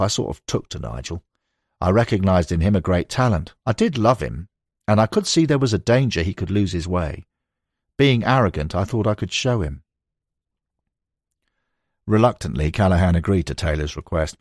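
A man's voice: sits low, a little mellow, no echo, clean studio sound. A man reading scripted narration of a football biography as an audiobook narrator.

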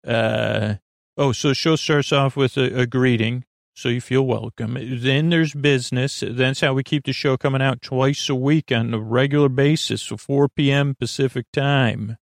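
Guy rambling on a bedtime podcast, gravelly creaky voice, real dry close sound, keeps circling back and losing the thread. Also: medium pitch, steady tone, no room sound. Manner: meandering, halting, repeats and trails off mid-thought. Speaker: podcast host. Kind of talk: rambling, unscripted sleep podcast monologue.

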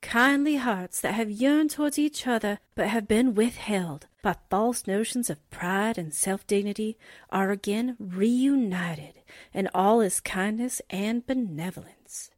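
A woman's voice: medium pitch, unhurried, dry recording, clean studio sound. A woman presenting for a radio drama cast in a scripted, read-aloud style. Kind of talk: scripted holiday greeting and essay reading.

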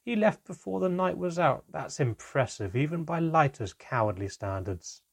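A man voicing a cruel goblin father in an audiobook, mocking and forceful. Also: a little high, animated, moderate pace, gravelly, husky, pitched above the performer's own voice, heavily acted. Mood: cruel sarcasm. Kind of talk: audiobook character voice.

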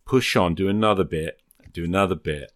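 Deep-voiced man in casual, reflective discussion. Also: brisk, no echo. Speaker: podcast host. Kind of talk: casual discussion of solo role-playing games.

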